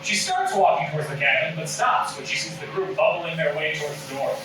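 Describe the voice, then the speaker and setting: A man's voice: on the high side, expressive, fairly quick. A man reading stage directions as scripted narration at a live table read. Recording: echoey room, distant mic, clear noise.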